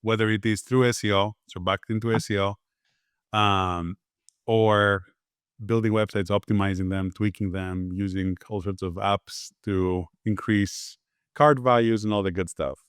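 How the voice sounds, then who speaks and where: A man from Greece answers an interviewer's questions in an easygoing way, pausing on fillers and restarting his sentences. His voice sits low and sounds smooth and resonant. It is recorded dry and close. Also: steady tone, dry recording. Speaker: podcast guest, agency founder.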